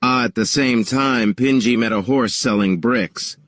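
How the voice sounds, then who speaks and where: Male narrator for a children's folktale, medium pitch, dry studio-like sound. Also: unhurried, a bit bright, dry recording. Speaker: story narrator. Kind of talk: scripted children's storytelling.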